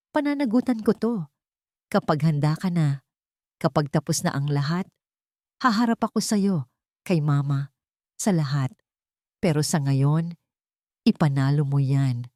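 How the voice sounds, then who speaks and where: A woman's voice: low voice, expressive, a little slow, long pauses, warm tone, no echo, studio quality. Woman as a remorseful, earnest younger sister. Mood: earnest resolve.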